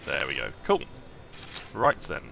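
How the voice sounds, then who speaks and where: A man with a croaky morning voice giving casual gameplay commentary, thinking aloud and rambling with lots of ums and restarts. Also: a bass voice, huge pitch swings, a little slow, sharp tone, a little hiss or hum. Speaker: mobile game let's-play host.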